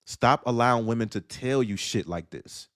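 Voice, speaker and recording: A man's voice: medium pitch, moderate pace, fairly bright tone, no room sound, clean studio sound. A man hosting a solo audio livestream, delivering a blunt, preachy monologue that fires off rhetorical questions. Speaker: livestream host.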